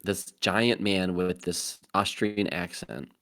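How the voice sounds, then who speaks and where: Low-ish voiced guy rambling on a movie podcast, lots of ums. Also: fairly even intonation, takes it slowly, fairly bright tone. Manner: rambling, thinking aloud with fillers. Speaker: podcast co-host. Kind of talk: casual podcast chat.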